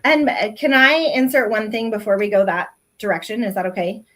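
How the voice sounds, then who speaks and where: A woman's voice: mid-range voice, a little fast, fairly bright tone. A woman guest on an online talk, explaining her work in an earnest, careful way. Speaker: guest expert. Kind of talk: casual chat.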